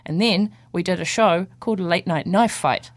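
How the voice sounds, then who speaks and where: A woman with a New Zealand accent chatting casually and telling stories in a jokey, animated way as a comedian guest. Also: fairly low pitch, moderate pace, no room sound. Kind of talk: casual chat, comic storytelling. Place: radio studio.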